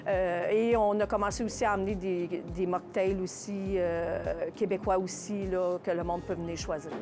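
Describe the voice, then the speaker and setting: A woman with a Quebec French accent giving casual interview answers as a shop owner, haltingly searching for her words. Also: fairly low pitch, steady tone, over music. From Contrecœur, Quebec, Canada. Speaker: small business owner being interviewed.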